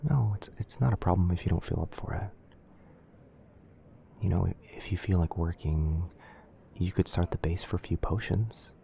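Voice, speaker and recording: Gentle wizard boyfriend, male performer, deep dark-soft tone, tender near-whisper delivery for ASMR roleplay, faint background noise. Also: normal speed, gravelly, long pauses. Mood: soft, reassuring. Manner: tender, hesitant, coaxing.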